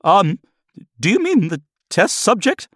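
A jittery young technician, voiced by a man in a strained, much higher voice, stammering and tripping over his words. His delivery is full of hesitant fillers and sudden jumps in pitch. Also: very animated, measured pace, a bit bright, dry recording, studio quality, heavily acted. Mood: nervous. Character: nervous stammering male lab technician.

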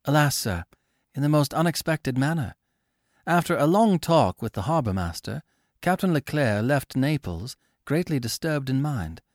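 A man voicing a calm, resolute young sailor in a storybook reading, speaking earnestly and raising his voice for commands. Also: medium pitch, moderate pace, no echo, studio quality, voice pushed up. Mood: sorrowful.

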